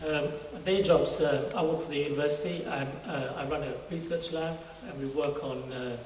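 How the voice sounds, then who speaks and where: A middle-aged man from Singapore explaining his work as an interview guest in a church, conversational, with halting phrases. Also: a little high, steady tone, darkish tone. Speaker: interview guest, university research scientist. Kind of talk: informal testimony interview. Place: large church hall, indoors. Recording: very echoey church hall, distant mic, faint noise.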